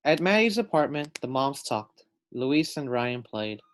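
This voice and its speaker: A man's voice: mid-range voice, sing-song, unhurried. A man reading a children's storybook aloud, adding simple explanatory asides for young listeners. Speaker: storybook reader.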